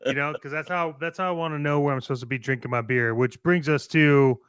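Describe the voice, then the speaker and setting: Male American co-host, fairly high voice, joking banter, tight dry sound. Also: fast talker, fairly bright tone, clean tone, dry recording. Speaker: co-host of a toy collecting show. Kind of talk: casual banter.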